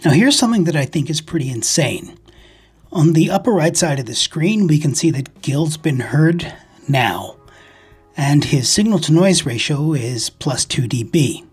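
A man with a very husky, breathy voice narrates an instructional hobby video. He explains things in a measured, methodical way as the host, with music playing behind his voice-over. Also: on the high side, expressive. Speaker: ham radio video host.

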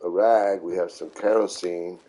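A middle-aged man giving casual, unhurried live game commentary, repeating himself as he explains. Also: deep voice, steady tone, dark tone. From New York City, United States. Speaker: video game streamer.

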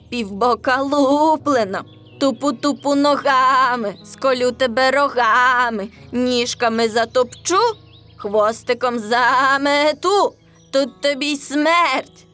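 A woman voicing a fierce, boastful nanny goat who chants menacing, drawn-out rhymes in a children's folk tale. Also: high-pitched, bright, forceful tone, exaggerated voice.

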